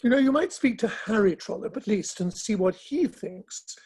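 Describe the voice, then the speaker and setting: A man playing a cautious, sceptical Victorian gentleman, speaking politely and probingly in a dramatic reading. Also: high-pitched, lively intonation, normal speed, slightly rough. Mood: sceptical, cautioning.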